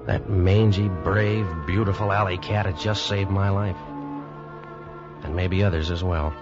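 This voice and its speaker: A male actor plays a tense American survivor recounting horror aboard ship in a 1940s radio thriller. His voice is on the low side and dramatic. The old recording is dull, with a very loud musical score around him. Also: natural voice. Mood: shaken, humbled relief. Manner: dramatic, intense first-person storytelling. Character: tense, shaken man recounting a deadly terror aboard ship. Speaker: radio drama actor narrating in first person. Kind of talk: 1940s-50s radio suspense acting.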